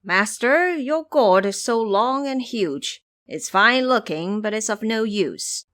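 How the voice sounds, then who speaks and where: A woman's voice: fairly high pitch, expressive, slow pace, a bit bright, no room sound, voice pushed up. A woman voicing a gullible, eager male imp servant in an audio storybook. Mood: unimpressed.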